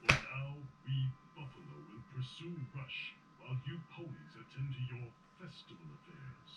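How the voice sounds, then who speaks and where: A solemn, dignified buffalo leader, acted by a man in a cartoon audio drama. His mid-pitched voice sounds far off and echoey, with loud noise over it. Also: big pitch swings, normal speed, lightly acted. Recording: very noisy, echoey playback from afar.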